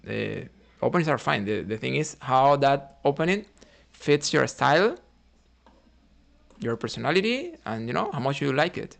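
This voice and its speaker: Gravelly Cuban man thinking aloud. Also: mid-range voice, sing-song, moderate pace. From Cuba. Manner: thinks aloud, often tags "right?"; chats with viewers between moves. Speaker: chess streamer. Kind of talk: live chess commentary while playing.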